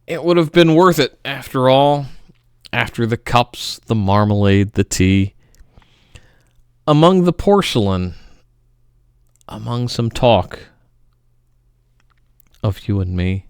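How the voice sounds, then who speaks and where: A man giving a theatrical, melodramatic poetry reading, swinging between loud outbursts and hushed murmurs. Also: medium pitch, expressive, takes it slowly, pauses often, clean tone, dry recording, studio quality. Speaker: poetry reader.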